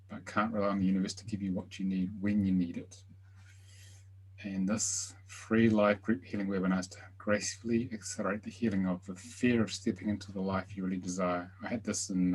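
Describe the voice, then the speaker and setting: A man hosting a live online healing webinar. He speaks softly and invites the attendees to share. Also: low-pitched, a little hiss or hum, poor audio. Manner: soft-spoken, invites sharing. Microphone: video call.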